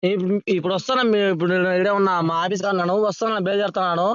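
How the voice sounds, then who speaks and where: A man's voice: sits high, steady tone, racing, clean tone, dry recording, close to an everyday voice. A man playing a pushy, threatening vehicle-finance debt collector on a prank phone call, badgering and repeating demands. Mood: insistent. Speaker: prank caller.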